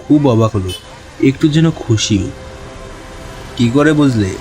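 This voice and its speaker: A man's voice: medium pitch, lively intonation, a little slow, natural voice. A man playing a kindly young doctor who tells his own story in a reflective, literary way. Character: young, newly qualified male doctor recounting his tale, kindly. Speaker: narrator. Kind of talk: first-person scripted story narration with dialogue. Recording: clear music bed behind narration.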